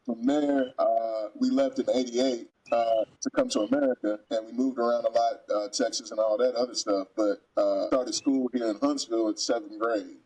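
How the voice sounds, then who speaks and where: A man's voice: medium pitch, fairly even intonation, moderate pace, few pauses, mellow, rough recording. A man in his forties, born in Germany, a guest on a casual podcast who explains things at length with many fillers. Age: 40s. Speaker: car salesman guest.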